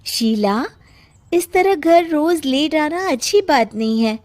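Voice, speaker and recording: A woman's voice: high-pitched, expressive, slow pace, darkish tone, voice pushed up. A woman voicing a shrewd, caring young daughter-in-law in a cartoon, earnest and worried. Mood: concerned.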